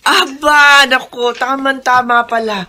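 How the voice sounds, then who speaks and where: An actress playing a warm, bustling, motherly household cook in a Filipino radio drama, effusive and chatty. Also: high-pitched, steady tone, a little slow, slight background noise, heavily acted. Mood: bustling, welcoming.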